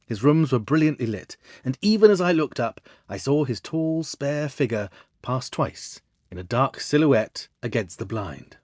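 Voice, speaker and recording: A man reads a classic tale aloud as storyteller. His delivery is measured and expressive, with wide swings of intonation around a middling pitch. The sound is close and dry. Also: no room sound. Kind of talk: scripted audiobook narration.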